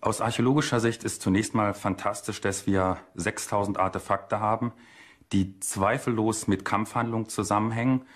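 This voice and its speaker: A man with a somewhat low voice speaks as an expert interviewee. He talks in an explanatory way, as in a documentary interview. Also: steady tone, normal speed.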